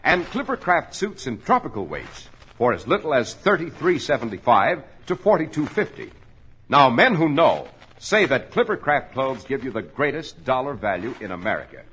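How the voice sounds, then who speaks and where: Very animated, husky 1940s radio announcer man. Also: medium pitch, moderate pace, poor audio. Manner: polished, emphatic. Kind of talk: 1940s radio announcing, scripted sales pitch. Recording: old 1940s radio recording.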